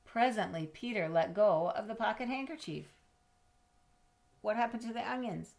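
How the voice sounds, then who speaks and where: A woman reading a children's storybook aloud expressively, with dramatic pauses, drawn-out words and questions to her young listeners. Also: mid-range voice, darkish tone. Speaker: storybook reader.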